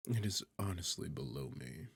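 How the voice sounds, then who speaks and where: A man's voice: very deep voice, lively intonation, moderate pace, no echo, clean studio sound, heavily acted. A man playing a sarcastic, seductive, arrogant demon king in an intimate ASMR fantasy roleplay, teasing and condescending as he talks. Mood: haughty, matter-of-fact. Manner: sardonic, condescending, teasing, smooth-talking. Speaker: audio roleplay performer.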